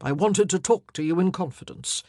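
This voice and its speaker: A man's voice: sits high, moderate pace, mellow, no echo, studio quality. A woman voicing a bold, commanding king in an audiobook, with emphatic, declamatory delivery. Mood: grave, confiding. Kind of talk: audiobook character dialogue.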